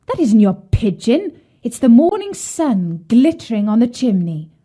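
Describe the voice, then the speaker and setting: A woman voicing a cruel, scheming mother in a children's storybook, with a sharp, bossy delivery. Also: a little high, very animated, a little slow, pitched above the performer's own voice. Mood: scolding.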